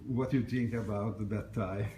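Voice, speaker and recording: A man's voice: lowish voice, steady tone, normal speed, mellow. A man interviewing a guest at an event, with hesitant, non-native phrasing and short prompts. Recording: roomy echo, faint noise.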